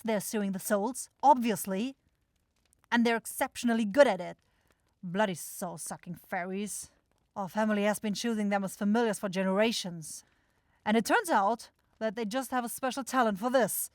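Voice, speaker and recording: A woman playing an irritable, sharp-tongued demon shopkeeper in a scripted fantasy ASMR roleplay, blunt and exasperated. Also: on the high side, no echo, studio quality, natural voice. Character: grumpy, sarcastic demon goat-eyed shopkeeper sister, sharp-tongued.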